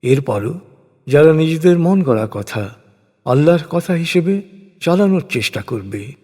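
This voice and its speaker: Man, higher-pitched voice, solemn religious narration, formal deliberate phrasing, long reverb. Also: lively intonation, mellow. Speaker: narrator of scripture translation. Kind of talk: solemn scripted religious recitation in prose.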